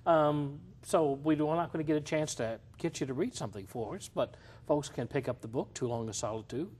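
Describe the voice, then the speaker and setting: A man's voice: a little high, a little fast, a little mellow, slightly rough. A man hosting an author interview, asking tentative, friendly questions. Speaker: interview host.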